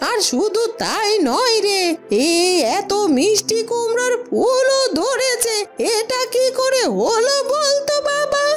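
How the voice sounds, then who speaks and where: Woman playing a high-voiced, fretful old village mother. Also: expressive, takes it slowly, over loud music, full character voice. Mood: astonished. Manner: homely, exclaiming, plaintive. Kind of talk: cartoon fairy-tale voice acting.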